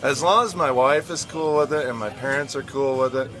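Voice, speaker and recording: A man's voice: medium pitch, a little fast, fairly bright tone. A man bantering casually and jokingly as a staff member on a comedy radio show. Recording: noisy with crowd chatter behind.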